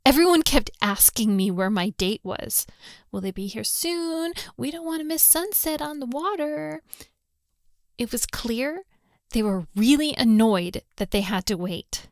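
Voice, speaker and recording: A woman's voice: on the high side, lively intonation, measured pace, no echo, studio quality. A woman playing a hot-tempered, lovestruck young daughter of a mafia boss in a girlfriend roleplay audio drama, exasperated and scolding. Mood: angry, scolding. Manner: scolding, exasperated, with sighs and breathy asides.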